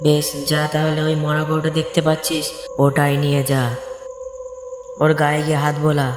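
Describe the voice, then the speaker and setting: A woman's voice: deep voice, completely flat delivery, normal speed. Echoing, commanding demon goddess, voiced by a woman. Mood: stern. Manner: imperious, commanding, repeats orders. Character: stern, commanding demon goddess granting bargains. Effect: long reverb. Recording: echoing reverb with music behind.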